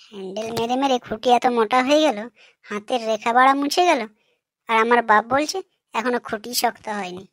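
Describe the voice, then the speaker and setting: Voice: mid-range voice, fairly quick, fairly bright tone, no room sound, clean studio sound, lightly acted. A woman voicing a lazy, insolent grown village son in a comic cartoon, whiny. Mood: indignant.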